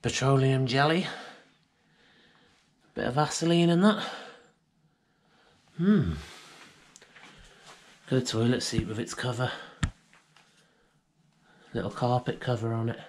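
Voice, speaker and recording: Hushed British man exploring a house. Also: medium pitch, takes it slowly, pauses often, a little hiss or hum. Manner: hushed, musing, often half-whispered. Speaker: urban explorer vlogger. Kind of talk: casual walkthrough commentary. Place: abandoned house indoors. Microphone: handheld action camera.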